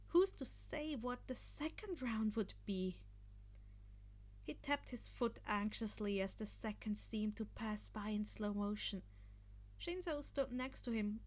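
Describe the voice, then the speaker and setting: Slow, high-pitched woman reading a story. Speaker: amateur podfic narrator. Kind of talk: narrating fan fiction, scripted storytelling.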